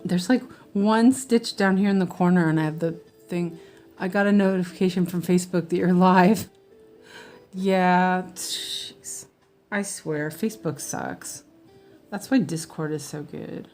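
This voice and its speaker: Slow-talking American woman, chatty. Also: on the low side, a little mellow, faint music behind. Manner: rambling and meandering, chatting with viewers. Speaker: cross-stitch livestreamer. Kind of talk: casual livestream chat while stitching.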